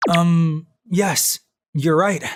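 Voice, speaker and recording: A woman's voice: on the low side, fairly even intonation, extremely slow. A woman voicing a flustered young male office worker in a manga dub, exclaiming and muttering his inner thoughts. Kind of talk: manga dub narration and acting. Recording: faint background music.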